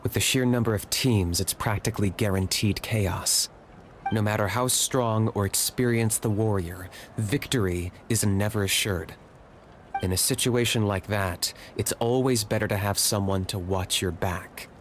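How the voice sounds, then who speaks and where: Man as cool, low-voiced young warrior. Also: moderate pace, a little hiss or hum, natural voice. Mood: serious. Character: cool, blunt, reserved young male warrior. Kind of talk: video game voice acting.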